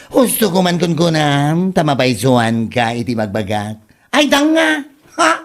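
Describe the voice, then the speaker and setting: A woman playing an excitable, gossipy friend who scolds theatrically in a comedic audio drama. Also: low voice, measured pace, exaggerated voice. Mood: mocking, urging. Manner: theatrical, exclamatory, reproachful.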